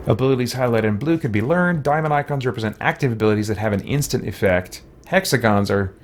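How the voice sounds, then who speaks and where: A man hosts a gaming playthrough in a medium-pitched voice. He talks casually, thinking aloud and muttering to himself. He moves between reading on-screen text and offering his own offhand musings. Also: fast talker, faint music behind. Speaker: let's play host.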